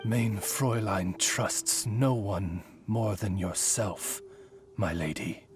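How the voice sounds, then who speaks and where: Slow, courtly, loyal familiar. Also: male voice, fairly low pitch, music in the background. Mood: courtly, composed. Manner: formal, eloquent, measured butler-like diction. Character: courtly, loyal talking familiar serving a young lady. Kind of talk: video game cutscene voice acting.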